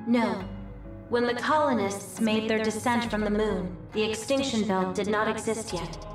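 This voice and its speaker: A woman voicing a serene archive terminal in a video game, speaking formally and with measured detachment. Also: medium pitch, steady tone, natural voice. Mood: serene, detached. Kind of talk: scripted video game voice acting. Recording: echoing distant voice under loud music.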